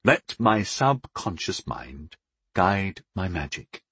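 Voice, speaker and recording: Man acting a wry, animated, reckless wizard. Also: deep voice, slow pace, husky, full character voice. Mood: eager. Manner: wry, sarcastic, musing. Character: sarcastic, daring male wizard, eager and reckless.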